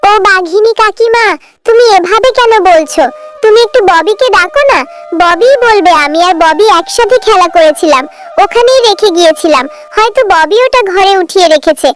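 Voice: extremely high pitch, lively intonation, moderate pace, slight background noise. A performer voicing a sweet, polite, pleading little girl in a children's cartoon. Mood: hurt protest.

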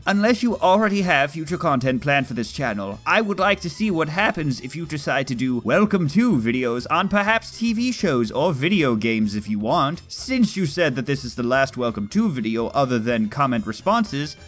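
A man's voice: a little high, big pitch swings, normal speed, hardly any pauses, fairly bright tone, faint music behind. A man voicing an earnest fan addressing a video maker in a comedic reading.

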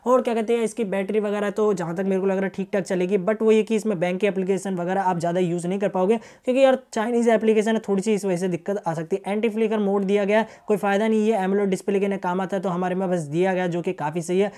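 Fast-talking young man reviewing tech. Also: high-pitched, steady tone, warm tone. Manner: colloquial, addresses viewers chummily as brothers. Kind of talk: casual phone feature walkthrough.

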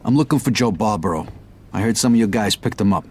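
A man's voice: on the low side, lively intonation, quick pace, a little mellow, slight background noise, close to an everyday voice. A man playing a tough, streetwise young Sicilian-American mobster in a video game, with plain slangy talk. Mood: guarded.

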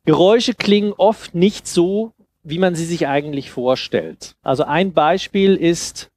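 Guy in his 30s, kinda high voice, explaining stuff in a casual talk, stumbles over words a bit. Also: measured pace, a little mellow, dry recording. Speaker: speaker giving a talk on sound design. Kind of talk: informal workshop presentation. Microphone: headset.

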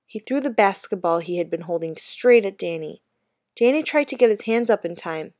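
A woman's voice: medium pitch, animated, moderate pace, a little mellow, no room sound, clean studio sound. A woman reading a children's book aloud expressively, like a teacher with a class text.

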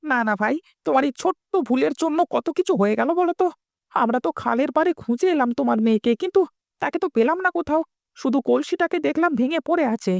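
A woman's voice: high-pitched, animated, fast talker, creaky, dry recording, clean studio sound. A woman voicing a worried, scolding villager in a cartoon, with a reproachful, fretting manner. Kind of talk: cartoon dialogue acting.

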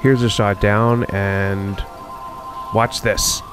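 Guy with a lowish voice talking thru an old mall tour, lots of ums, soft music under it. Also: little pitch movement. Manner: unhurried, musing, many ums and uhs. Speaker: video host touring a closed-down mall. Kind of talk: casual walkthrough narration.

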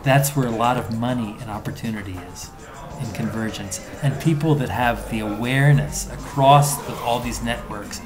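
A man in his fifties, an author being interviewed, explains his ideas in an animated, emphatic way. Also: mid-range voice, normal speed, over music. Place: indoors in an office room.

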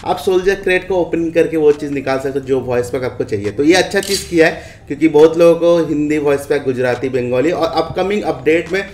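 A man's voice: on the high side, a little fast, hardly any pauses, darkish tone. Indian man commentating, echoey room. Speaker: gaming video host. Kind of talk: casual update walkthrough commentary. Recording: echoey room with faint background noise.

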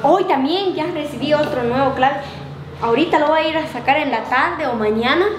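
A woman's voice: high voice, brisk, roomy sound, background noise, natural voice. A young woman playing a girlfriend who brags about the money her boyfriend sends, in a casual web drama. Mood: boastful. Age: young adult. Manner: chatty, boastful. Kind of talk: amateur web drama acting.

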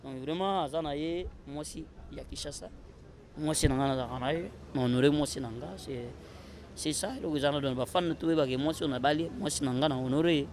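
Congolese male, 30s, interview guest, casual delivery, slightly high register, faint outdoor noise. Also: fairly quick, a little mellow. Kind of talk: casual interview talk, switching languages. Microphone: handheld interview microphone. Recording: noisy outdoor handheld-mic recording.

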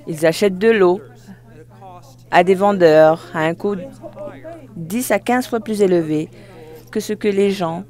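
An interpreter giving a live spoken translation of a formal speech, phrase by phrase, with halting self-corrections. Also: medium pitch, dark tone.

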